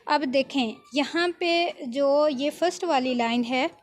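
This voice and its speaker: A high-pitched woman talks through a software tutorial step by step. She is informal and keeps adding little check-in tags as she teaches. There is faint background noise on the recording. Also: measured pace. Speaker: video editing tutor.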